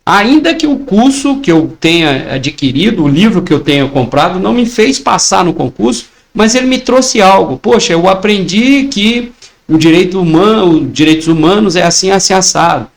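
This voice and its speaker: High-voiced Brazilian man, persuasive teacher. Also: expressive, moderate pace, creaky. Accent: Brazilian Portuguese. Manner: persuasive, repeats points, asks and answers own questions. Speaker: exam prep teacher. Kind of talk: motivational online lesson.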